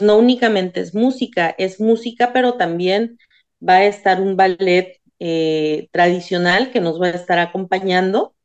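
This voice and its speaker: Chatty woman singer, muffled sound. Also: mid-range voice. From Isthmus region of Oaxaca, Mexico. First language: Zapotec. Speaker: singer, radio guest. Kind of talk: radio interview.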